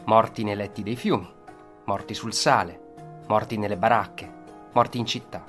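A man narrating a scripted historical account for radio in a solemn, dramatic manner, with short, deliberate phrases. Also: lowish voice, steady tone, a bit bright. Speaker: storyteller, narrator. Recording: radio narration over musical soundtrack. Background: instrumental soundtrack with guitar.

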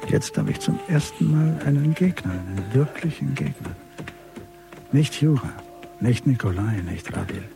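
Male general, gravelly mid-pitched voice, grim and vengeful, measured menacing monologue, radio drama, music behind. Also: animated. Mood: grim, resolved. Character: grim, vengeful army general, bereaved father. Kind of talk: radio crime drama acting.

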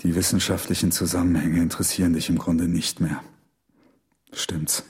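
An actor playing a thoughtful, concerned scientist in a radio drama, earnest and precise in speech. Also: male voice, low voice, level tone, normal speed, a little mellow. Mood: quietly reproachful.

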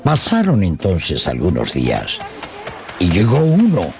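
A man narrating a dramatised audiobook in a theatrical, expressive way, with dramatic pauses and a gravelly edge. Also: deep voice, faint music behind.

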